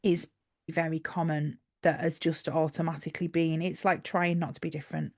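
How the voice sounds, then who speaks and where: A woman's voice: deep voice, level tone, moderate pace, darkish tone, no echo, clean studio sound. A woman with a Yorkshire accent, co-hosting a casual podcast chat and explaining her thoughts with fillers and restarts. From Yorkshire, England. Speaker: podcast co-host.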